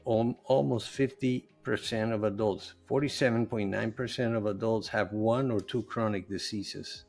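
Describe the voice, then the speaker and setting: A man's voice: fairly low pitch, steady tone, moderate pace, gravelly, a little mellow, soft background music. A middle-aged man whose first language is Spanish, talking earnestly and loosely as a guest in a podcast interview. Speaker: podcast guest, nutritionist and health coach. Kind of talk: conversational interview.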